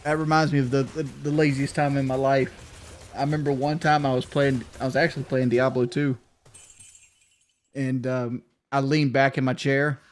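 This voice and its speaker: A man's voice: medium pitch, flat delivery. A middle-aged man streaming a game, chatting casually and cursing, rambling with frequent restarts and stutters. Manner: rambling, profane, stutters when thinking fast. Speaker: gaming streamer. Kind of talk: casual livestream chat.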